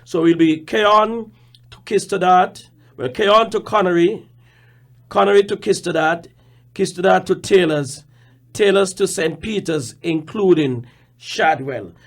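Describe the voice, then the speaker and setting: Male radio guest, Caribbean accent, slightly high pitch, managerial explainer, deliberate with repeated emphasis.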